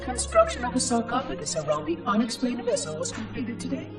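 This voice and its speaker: A man's voice: very high voice, wildly swooping pitch, a little fast, heavily acted. A man voicing an ancient, fussy, curious robotic monitor in a video game, with precise, formal, theatrical delivery and a synthetic processed sound. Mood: solemn, regretful. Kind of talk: video game cutscene log narration. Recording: distant, echoing, music underneath. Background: music.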